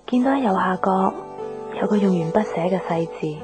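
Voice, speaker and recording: An actress playing a gentle young career woman in love in a radio drama, speaking Hong Kong Cantonese. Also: mid-range voice, fairly quick, natural voice. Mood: wistful. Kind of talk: radio drama narration and dialogue. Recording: music behind the voice.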